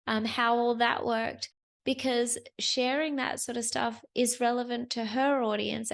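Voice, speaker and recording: A young woman hosts a casual solo talk with a hoarse, worn-out voice. Her pitch sits a little high but barely moves. She talks in a chatty way, repeating phrases and addressing listeners as "you guys.". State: hoarse, lost voice. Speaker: host. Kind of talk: solo business talk to camera.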